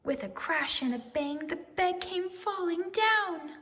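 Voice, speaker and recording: Slow, expressive woman reading a storybook. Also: extremely high pitch, big-room sound. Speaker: storybook reader. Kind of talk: expressive children's read-aloud narration.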